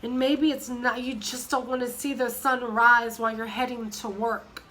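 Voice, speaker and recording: A woman in her thirties with a cold, hoarse and sniffly, chatting casually in a rambling way with many fillers and restarts. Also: a little high, flat delivery, moderate pace, pushed and bright, slight background noise. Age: 30s. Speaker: vlogger. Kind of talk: casual chat.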